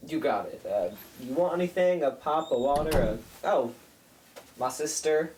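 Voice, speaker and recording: A man's voice: on the high side, expressive, moderate pace, darkish tone, lightly acted. Man acting as teenage brother, restless, evasive, loyal, radio play, echoey room. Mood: casual, evasive. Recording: roomy echo, faint background hiss.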